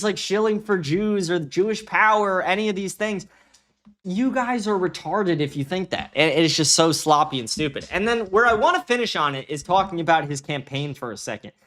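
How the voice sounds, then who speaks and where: A man's voice: high-pitched, a bit bright. A man ranting in an unscripted livestream commentary, blunt, scornful and profane, often repeating phrases for emphasis. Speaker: host of a political commentary stream.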